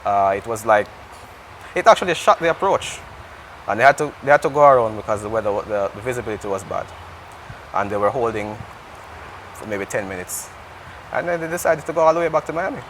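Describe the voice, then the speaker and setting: A man's voice: fairly low pitch, lively intonation, a little fast, long pauses, a bit bright, a little hiss or hum, poor audio. A Jamaican man speaking as an interview guest in casual, conversational talk, often restarting his sentences. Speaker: interview guest, air traffic controller.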